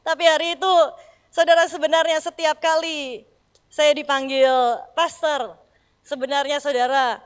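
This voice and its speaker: A middle-aged woman pastor from Bandung with a Sundanese accent, preaching with lively storytelling and acted-out dialogue. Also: high voice, moderate pace, long reverb tail. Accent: Sundanese-accented Indonesian. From Bandung, West Java. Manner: animated storyteller, acts out dialogue, addresses congregation as "saudara". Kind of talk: sermon with storytelling. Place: church hall.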